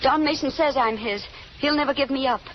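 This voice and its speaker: A woman's voice: sits high, a little fast, natural voice. An actress playing an anguished, devoted young fugitive woman, earnest and melodramatic in old-time radio style. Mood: fearful, pleading. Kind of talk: 1930s radio drama acting. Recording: muffled, noisy old radio recording.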